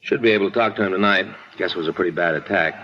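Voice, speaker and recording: An actor playing a plainspoken, clipped police detective in a 1950s radio crime drama. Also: male voice, low-pitched, racing, natural voice. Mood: businesslike. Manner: casual, clipped. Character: plainspoken male police detective, the businesslike partner. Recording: muffled, slightly noisy 1950s radio recording.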